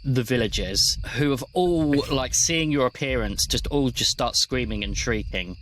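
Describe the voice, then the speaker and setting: A man's voice: medium pitch, expressive, moderate pace, a bit bright, a little hiss or hum, poor audio. A man working as game master, narrating a tabletop roleplaying game in a conversational way.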